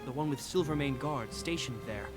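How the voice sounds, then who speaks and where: An actor playing a polite, duty-bound young guard captain in a video game, speaking in a measured, formal way. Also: male voice, medium pitch, natural voice. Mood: courteous. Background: game music.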